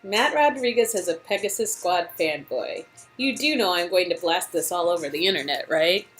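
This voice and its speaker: A woman's voice: lowish voice, animated, normal speed, a bit bright, faint music behind. A woman voicing a sassy young female newcomer in a romance game, playful, teasing and casual. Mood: amused, teasing. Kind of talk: amateur dialogue reading of a romance game.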